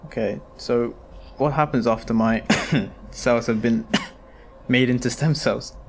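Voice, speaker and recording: A man acts out a dying patient in an audio drama. He is wary and full of questions, ailing and prone to coughing, with faint noise behind his mid-pitched voice. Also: fairly even intonation, takes it slowly. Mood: uneasy questioning. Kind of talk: amateur audio drama dialogue.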